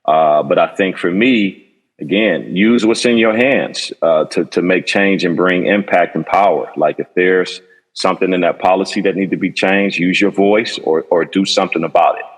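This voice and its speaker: A man on a panel, speaking in a measured, thoughtful way with frequent pauses. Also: sits low, in a large hall. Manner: measured, thoughtful, many fillers. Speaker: educator panelist. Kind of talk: panel discussion.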